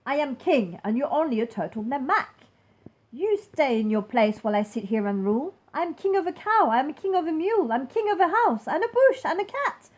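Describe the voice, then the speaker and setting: A woman's voice: high-pitched, very animated, normal speed, voice pushed up. A woman voicing a pompous, bossy turtle king from a storybook, bellowing boasts and commands imperiously. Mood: angry, commanding.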